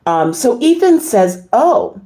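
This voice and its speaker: A slightly hoarse woman hosting a casual livestream, reading and answering viewer comments in a halting, effusive way. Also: a little high, very animated, mellow. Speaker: livestream host.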